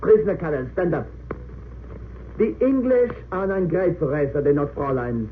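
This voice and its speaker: Actor as a high-pitched, imperious military judge, vintage radio. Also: male voice, expressive, fairly quick, natural voice. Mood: sly, pressing. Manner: imperious, sarcastic, clipped interrogation. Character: stern, sneering German military court judge. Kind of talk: old-time radio drama acting. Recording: tinny narrow-band old radio recording, hissy.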